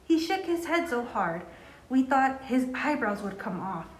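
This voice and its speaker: A woman's voice: a little high, big pitch swings, measured pace, a little hiss or hum. A woman reading a children's story aloud in an echoey room. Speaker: school staff member reading aloud.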